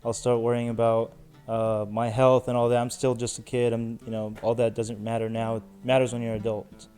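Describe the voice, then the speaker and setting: A man in his twenties, a podcast guest speaking in a measured, reflective way with many "you know"s. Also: mid-range voice, flat delivery, warm tone, clean tone, faint music behind. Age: 20s. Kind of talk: casual chat.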